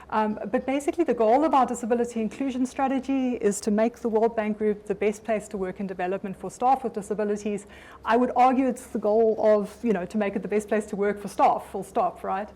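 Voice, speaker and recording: A middle-aged South African woman speaking at a conference, her voice sitting a little high. She talks in an easy, conversational manner, stopping to restart her sentences now and then. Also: darkish tone. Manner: conversational, with frequent ums and restarts. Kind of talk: conference presentation. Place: conference room.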